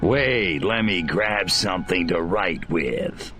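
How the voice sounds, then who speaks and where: Smug alien invader, very deep, very slow drawl, sneering and wisecracking, faint hiss. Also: male voice, lively intonation, sharp tone, faint music behind, heavily acted. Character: cocky alien invader, male, smug and sardonic. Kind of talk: video game cutscene acting.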